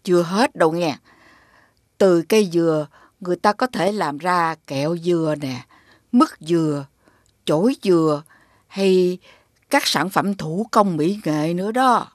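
A woman plays a kindly cartoon grandmother, speaking very slowly in a lowish voice. She teaches gently in a southern Vietnamese accent, in a dry, close recording. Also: dry recording, natural voice. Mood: gentle, explaining. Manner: gentle, instructive. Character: kindly grandmother teaching children about country produce. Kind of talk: animated cartoon dubbing.

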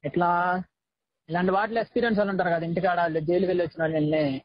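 A man's voice: sits high, steady tone, racing, no echo. A young man chatting informally and in slang on a recorded phone call. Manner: informal, slangy, says "bro" often. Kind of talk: casual phone conversation.

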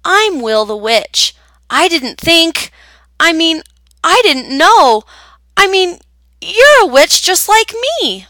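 A woman's voice: very high voice, big pitch swings, slow, bright, forceful tone, no echo, studio quality, pitched above the performer's own voice, exaggerated voice. A woman voicing an uncertain, shy male witch who stumbles over his words in a storybook tale.

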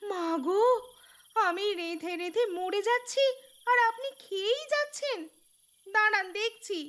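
Woman voicing a very high-pitched young cartoon daughter-in-law. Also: measured pace, a bit bright. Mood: exasperated, complaining. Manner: complaining, scolding. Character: young daughter-in-law, exasperated, hardworking housewife. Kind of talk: cartoon voice acting. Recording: music behind the voice.

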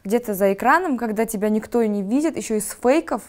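A woman's voice: on the high side, lively intonation, a little mellow. Earnest young Kazakh woman, pleading. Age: young adult. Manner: earnest, pleading, hesitant with many pauses. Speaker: podcast guest. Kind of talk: casual studio talk. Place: studio with dark backdrop. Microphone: lapel.

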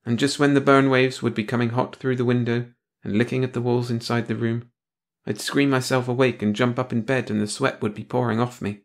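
A man voicing a frightened young wife who pleads softly for her husband. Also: mid-range voice, little pitch movement, fairly quick, natural voice. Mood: hushed. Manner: trembling, pleading, soft.

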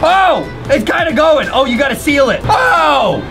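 A man's voice: extremely high pitch, sing-song, a little slow. A man in his twenties co-hosting with exaggerated, theatrical comic reactions and a goofy manner. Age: 20s. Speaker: co-host. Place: small indoor studio room at a table. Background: music.

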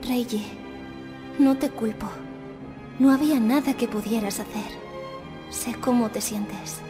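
Anime dub role: sorrowful, resolute young assassin girl played by a woman, highish voice, long reverb. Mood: tender sorrow. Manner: quiet, solemn, measured. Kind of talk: anime fan dub acting. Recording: long reverb under background music.

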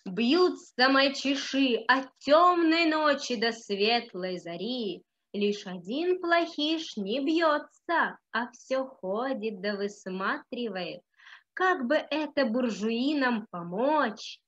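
A young adult woman reading a story aloud in an expressive, dramatic way with deliberate phrasing. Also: fairly high pitch. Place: small room indoors. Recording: dull lo-fi webcam sound.

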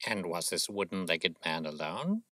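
A man voicing a shrewd, smooth-talking gentleman detective, suave and probing, in an audiobook story. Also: low voice, big pitch swings, normal speed, no room sound, studio quality, exaggerated voice. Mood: bland, persuasive.

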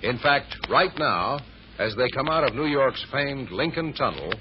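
A man's voice: medium pitch, animated, measured pace, background noise. A man narrating an old-time American radio serial as its announcer, in a dramatic, suspenseful, emphatic manner. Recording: muffled old radio recording.

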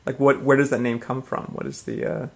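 A man's voice: medium pitch, steady tone, fairly quick, vocal fry. A man interviewing casually from off camera, inquisitive and a little hesitant. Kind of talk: casual improvised questioning. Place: indoors, off camera.

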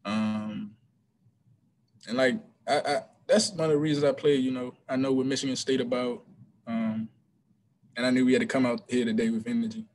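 A man's voice: medium pitch, moderate pace, rough recording. Young college hoops guy on a webcam postgame, lots of ums, faint hiss behind him. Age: young adult. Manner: relaxed, hesitant, many ums and restarts. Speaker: college basketball player. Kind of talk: postgame press conference answers. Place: small room before a team backdrop. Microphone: video call webcam.

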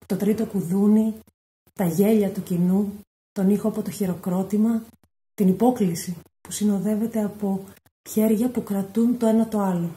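Very mellow woman in a roomy space. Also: mid-range voice, fairly even intonation. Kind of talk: scripted spoken message, reflective text. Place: small indoor room at home. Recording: roomy home phone recording.

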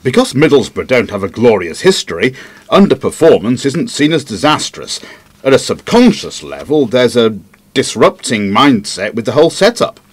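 A man's voice: mid-range voice, sing-song, moderate pace, breathy edge. A man playing an earnest, opinionated investigator who explains things at length in an audio drama. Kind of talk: podcast-style audio drama dialogue.

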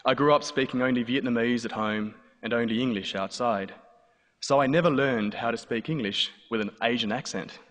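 A man's voice: mid-range voice, normal speed, fairly bright tone. A man in his thirties with an Australian accent, telling a comic personal story on stage in a dry, wry way. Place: large hall before a live audience. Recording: live hall with long reverb, faint noise.

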